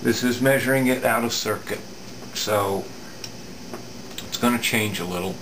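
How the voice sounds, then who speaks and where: A middle-aged man giving an unscripted hands-on repair demonstration in a halting, matter-of-fact way, recorded at an indoor workbench. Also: on the low side. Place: indoor home electronics workbench. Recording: steady room hum, air-conditioning noise.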